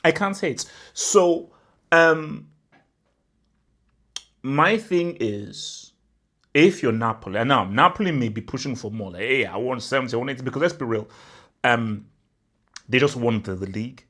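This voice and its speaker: A young man from Nigeria talks football on his channel in a loose, excited vlog style. His voice sits at an ordinary middle pitch. He keeps hammering his points with "bro" and piles up exaggerations. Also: unhurried, pauses often. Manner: animated and emphatic, repeats "bro", spirals into exaggeration. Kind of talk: casual vlog commentary.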